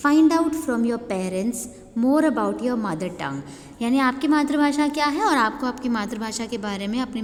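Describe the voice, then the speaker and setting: A woman teacher with an Indian accent whose first language is Hindi, talking children through a worksheet and reading English answers slowly for dictation. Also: on the high side, darkish tone, big-room sound.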